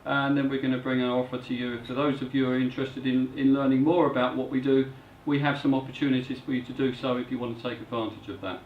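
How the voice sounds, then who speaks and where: Measured, businesslike middle-aged male presenter, heard from far off through a dull, lo-fi recording. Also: mid-range voice, level tone, echoey room, slight background noise. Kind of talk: seminar presentation. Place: hotel function room.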